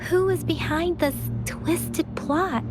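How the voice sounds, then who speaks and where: Gentle young female game scout: high voice, unhurried, earnest, perceptive, music underneath. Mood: grave, pondering. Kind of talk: video game voice acting. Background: background music.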